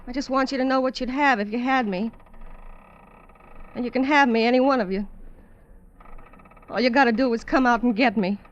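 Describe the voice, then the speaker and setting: An actress playing a brassy, confident twenty-nine-year-old dancer in an old American radio drama, with a slangy, frank way of talking and an American accent. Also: female voice, fairly high pitch, normal speed, long pauses, lo-fi sound, a little hiss or hum, natural voice. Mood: frank, confiding. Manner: slangy, plain-spoken, frank. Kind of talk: 1940s-50s radio drama acting.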